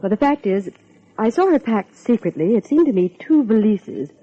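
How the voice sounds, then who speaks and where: An actress playing a proper, reserved social secretary, formal and guarded, in old-time radio drama style. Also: female voice, mid-range voice, animated, moderate pace, breathy edge. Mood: confiding, uneasy. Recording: muffled old radio recording.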